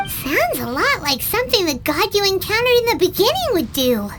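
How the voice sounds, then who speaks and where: A woman voicing a tiny, chirpy female fairy companion who talks in an excitable, squeaky way, in video game voice acting. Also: normal speed, heavily acted. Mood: curious. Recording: game music underneath.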